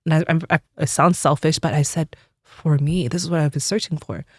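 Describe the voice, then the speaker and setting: A woman's voice: sits low, fairly even intonation, brisk, no room sound, clean studio sound. A woman in her forties from the United States, a religious sister telling her personal story in an interview, earnest and reflective.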